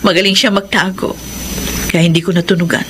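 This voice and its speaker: A woman's voice: fairly low pitch, level tone, fairly quick, background noise, lightly acted. A woman acting in a Filipino radio drama as a sorrowful, resigned wife and mother, speaking plaintively. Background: loud music.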